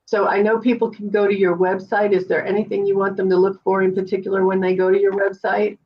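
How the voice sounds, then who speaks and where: A woman's voice: medium pitch, flat delivery, quick pace, husky. A woman hosting an interview show, speaking in an encouraging way with effusive thanks. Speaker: host. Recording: distant, dull lo-fi sound.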